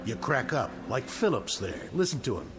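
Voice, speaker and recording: An actor playing a tough, streetwise death row convict, blunt and colloquial, in mid-century television drama style. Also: male voice, medium pitch, very animated, moderate pace, heavy background noise, close to an everyday voice. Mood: irritated, blunt. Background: dramatic music.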